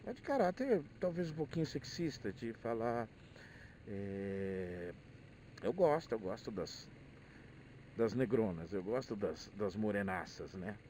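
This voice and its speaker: A man's voice: lowish voice, animated, unhurried, a little mellow, slight background noise, low-quality recording. A middle-aged man with a Brazilian accent, thinking aloud in a casual, hesitant monologue where he often repeats words. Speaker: motorcyclist vlogger. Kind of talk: casual rambling monologue, personal reflections.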